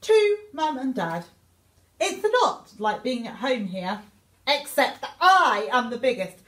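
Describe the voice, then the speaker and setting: Woman reading a kids story, super expressive, high voice, slow, echoey room. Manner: exaggerated, theatrical delivery. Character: young male meerkat writing eager letters home. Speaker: teacher reading a picture book aloud.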